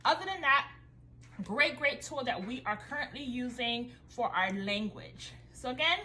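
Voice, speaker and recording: Friendly haitian-born mom reviewing school books at home, french first language, kinda high clear bright voice. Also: female voice, lively intonation, moderate pace, a little hiss or hum. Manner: upbeat, enthusiastic, friendly. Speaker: homeschooling mother and vlogger. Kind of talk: casual curriculum review vlog.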